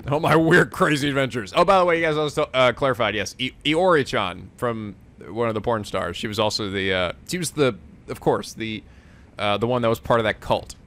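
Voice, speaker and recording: A man hosting a game let's play, reacting excitably and laughing often. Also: medium pitch, brisk. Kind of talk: gaming commentary.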